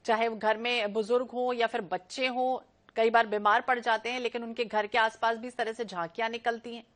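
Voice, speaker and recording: A woman's voice: a little high, little pitch movement, brisk, fairly bright tone. A woman news anchor moderating a broadcast debate, introducing guests and putting questions to them.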